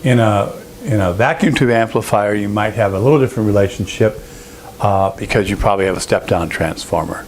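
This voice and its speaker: A man presenting an informal technical lesson, explaining step by step in a halting, explanatory way. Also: on the low side, slight background noise. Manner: explanatory, halting, with frequent throat clearing. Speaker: presenter of an audio engineering lesson.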